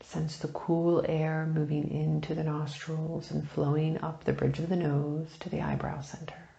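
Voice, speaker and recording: A woman leading a guided meditation, speaking softly and soothingly in unhurried phrases with long pauses, turning breathy at phrase ends. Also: low voice, flat delivery, echoey room, dull audio. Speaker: meditation teacher.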